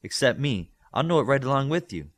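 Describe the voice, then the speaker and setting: A man voicing a bold, brisk, teasing teenage girl who reads minds, in an audiobook story. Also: mid-range voice, big pitch swings, voice pushed up. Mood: brisk, scheming.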